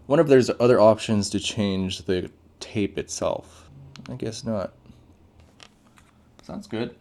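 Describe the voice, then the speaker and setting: Male tech reviewer, low and gravelly with a mellow tone, unscripted and conversational, thinking out loud. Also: slow. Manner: off-the-cuff, thinking aloud while reading out menus. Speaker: gadget reviewer, host. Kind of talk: casual unboxing, first-impressions commentary.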